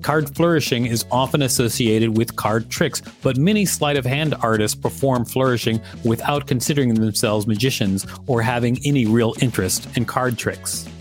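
A man's voice: medium pitch, moderate pace, few pauses. A man with a mid-range voice co-hosts a podcast. He moves between plainly read article text and casual banter, with faint music behind him. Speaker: podcast co-host. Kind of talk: reading an encyclopedia article aloud with casual banter.

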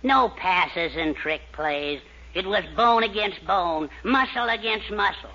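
A man's voice: high-pitched, expressive, slow, bright, forceful tone, heavily acted. An actor playing a meek, fussy, blustering middle-aged husband and father in a 1940s radio comedy. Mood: nostalgic, jovial. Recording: muffled, hissy 1940s radio recording.

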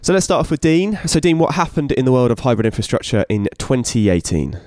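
A man hosting a tech podcast, with quick, chatty and playful questions. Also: medium pitch, big pitch swings, a little mellow, no room sound, studio quality. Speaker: podcast host.

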